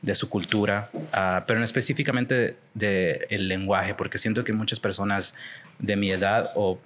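Relaxed young man hosting an interview. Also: lowish voice, normal speed, slight background noise. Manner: relaxed, casual slang, many fillers. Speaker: podcast host. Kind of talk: casual interview conversation.

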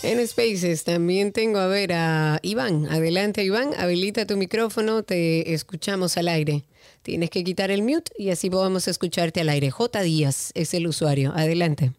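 A woman's voice: lowish voice, normal speed, no echo, studio quality, faint music behind. A woman with a Dominican accent hosting a radio call-in show in a conversational way.

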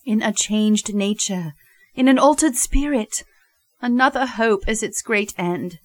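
A woman playing a sorrowful but resolute young fiancée in a radio drama, earnest, formal and measured. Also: mid-range voice, no room sound, close to an everyday voice. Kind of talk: theatrical radio drama acting.

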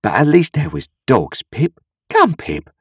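Guy doing a simple kindhearted village blacksmith in an audiobook, earnest n rustic, bit husky, pitch goes all over. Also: big pitch swings, measured pace, darkish tone, no room sound, studio quality, heavily acted. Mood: amazed, pleading. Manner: rustic ungrammatical speech, earnest, ruminative. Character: kindly, slow-reasoning uneducated country blacksmith, adult man.

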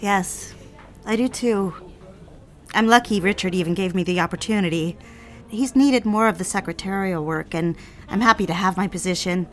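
A woman playing a refined, smitten young career woman in a mid-century period audio drama, speaking with measured, demure poise. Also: medium pitch, soft background music, close to an everyday voice. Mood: demure, polite. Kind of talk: period audio drama with first-person narration.

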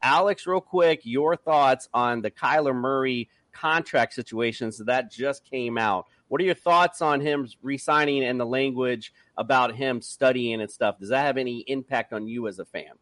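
A man's voice: medium pitch, moderate pace, hardly any pauses, a bit bright, no room sound. A man hosting a casual sports podcast, upbeat, addressing each guest as "sir". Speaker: podcast host.

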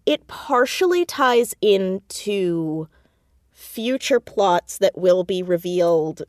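A woman with a slightly low voice talks as a guest in a casual interview. She takes her time, yet tells things expressively and acts out her asides. The sound is dry and close. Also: a little mellow, dry recording. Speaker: audio drama creator and voice actor, interview guest. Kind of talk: casual, animated interview chat.

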